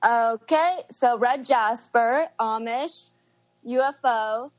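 A woman's voice: fairly high pitch, slow. A woman from Belgium with a Belgian accent, a podcast guest who gives brief answers and giggles often. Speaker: guest listener. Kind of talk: casual interview.

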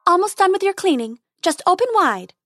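A woman's voice: sing-song, a little fast, no room sound, exaggerated voice. A woman voicing a sweet, chirpy female tooth fairy. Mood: kindly. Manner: bright, high and chirpy.

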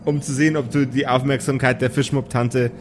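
A man's voice: medium pitch, little pitch movement, a little fast, faint music behind. A man improvising narration as the game master of a tabletop role-playing audio play.

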